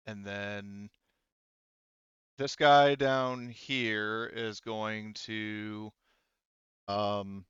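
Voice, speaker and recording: A man with a fairly low, smooth voice runs a tabletop game session as game master. He talks casually and hesitantly, working things out aloud. The sound is dry and slightly dull. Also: fairly bright tone, no echo. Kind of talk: running an online tabletop roleplaying game, casual.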